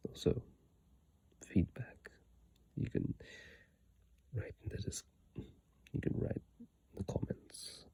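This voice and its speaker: A person whispering in an ASMR style, breathy and airy, reading aloud with informal, halting, unhurried asides into a phone microphone up close. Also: mellow, no echo. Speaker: ASMR content creator. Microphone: iPhone microphone, close up.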